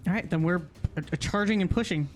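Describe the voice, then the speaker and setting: A man with a high voice speaks as a player in a tabletop role-playing game. His delivery is casual table talk. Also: fast talker, soft background music.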